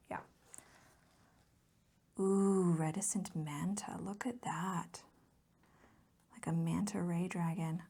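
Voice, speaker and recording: A soft-spoken woman with a dark, relaxed tone and plenty of creaky fry. She drifts between a low murmur and a husky whisper in an intimate ASMR style, chatting in a gushing, rambling, affectionate way. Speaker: host of a hobby ASMR channel. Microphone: close mic, recorded as voice-over.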